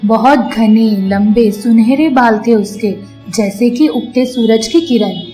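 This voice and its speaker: A woman narrating a scripted children's fairy tale in a measured storytelling manner. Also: fairly high pitch, warm tone, faint music behind.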